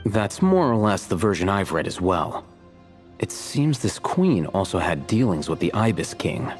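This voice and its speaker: A man voicing a calm, reserved male scholar in a game, composed and measured. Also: sits low, soft background music.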